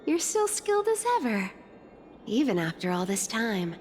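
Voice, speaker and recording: A woman voicing a sly, teasing young trickster thief in a video game, with drawn-out, sing-song phrasing. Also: a little high, sing-song. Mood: playful, coaxing. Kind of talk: video game story voice acting. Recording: loud music behind the voice.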